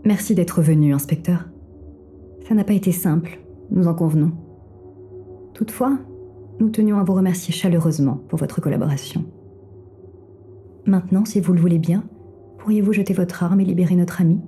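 An actress playing a courteous, mysterious female operative in an audio thriller, speaking in a formal, measured way. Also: fairly low pitch, warm tone, faint music behind, close to an everyday voice. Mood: coolly polite.

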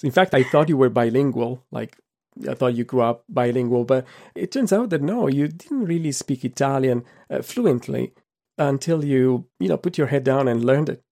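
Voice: medium pitch, moderate pace, vocal fry, warm tone. A podcast host interviewing a guest casually, with friendly encouraging murmurs, who learned English as a second language. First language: not English. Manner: friendly, encouraging with frequent mm-hmms.

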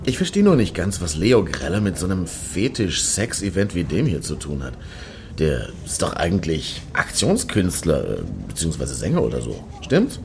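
An actor playing a crude, grumbling, pedantic clubgoer in a satirical radio play, blunt and opinionated. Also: male voice, low-pitched, huge pitch swings, normal speed, a little mellow, slight background noise, full character voice. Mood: sceptical.

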